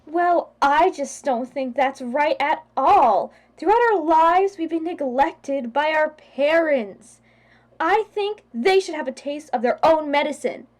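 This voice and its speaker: A woman's voice: high voice, slow pace. A young female student playing an entitled, neglected daughter in a radio play. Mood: resentful. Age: teenager or young adult student. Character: entitled, neglected daughter, loyal to her brother.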